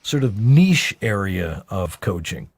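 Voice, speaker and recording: A man hosting an interview show, his pitch moving up and down a lot as he jokes and asks questions. The recording is dry and close, with no room sound. He keeps the talk light and upbeat. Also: slow, gravelly, slightly rough, dry recording. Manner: upbeat and joking, with lively pitch swings. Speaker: podcast host.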